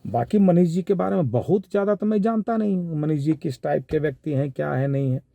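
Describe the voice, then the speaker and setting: A man with a Bihari accent giving informal spoken commentary in a measured, halting, explanatory way. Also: fairly high pitch, vocal fry, dark tone, no echo. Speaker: commentator giving his analysis of a viral call.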